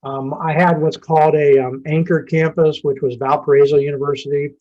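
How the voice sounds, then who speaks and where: A man's voice: a little high, flat delivery, brisk, warm tone, dull audio. A man of about sixty, a business owner telling his story in a casual, folksy interview. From Indiana and Ohio, USA.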